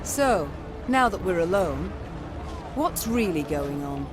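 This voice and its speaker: A woman's voice: lowish voice, very animated, slow, over music. A woman playing a proud, blunt warrior queen in a video game, stern and commanding. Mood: frank. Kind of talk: dramatic video game acting.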